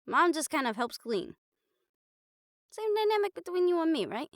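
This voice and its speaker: A woman playing a caring, blunt, teasing young girlfriend in an improvised audio roleplay, chatting casually and haltingly to the listener. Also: extremely high pitch, big pitch swings, no room sound, studio quality. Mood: playful, blunt. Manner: casual, halting, playful swearing, affectionate teasing.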